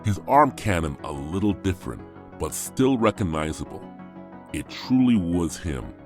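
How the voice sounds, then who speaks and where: A man's voice: low-pitched, sing-song, moderate pace. A man narrating a scripted audio drama in a solemn, storytelling manner. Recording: prominent music under voice.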